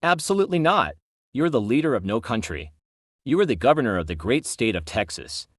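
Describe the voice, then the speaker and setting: Man doing a stern government envoy, blunt and commanding, lively pitch, dry close sound. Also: a little fast, dry recording. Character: stern male government envoy delivering an ultimatum. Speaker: presidential representative. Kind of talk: amateur audio drama.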